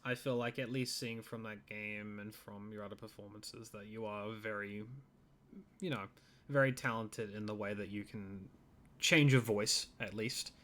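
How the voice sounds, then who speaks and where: A man's voice: fairly low pitch, fairly even intonation, moderate pace, pushed and bright. A man hosting an interview, rambling a little and full of "like" and other fillers. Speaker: interviewer, host. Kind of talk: casual interview conversation.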